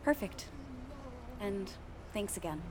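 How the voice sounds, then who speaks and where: A woman's voice: medium pitch, big pitch swings, slow, background noise. Earnest, wry young woman. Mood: curious, polite. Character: earnest, curious young woman investigating her family. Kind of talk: radio play dialogue and recorded diary.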